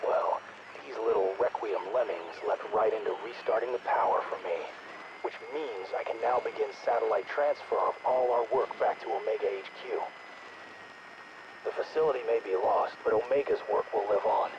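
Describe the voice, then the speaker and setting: An actor playing a smug, self-serving outpost scientist in a video game, theatrical and exasperated, heard through a radio filter. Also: male voice, on the low side, moderate pace. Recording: muffled noisy radio transmission.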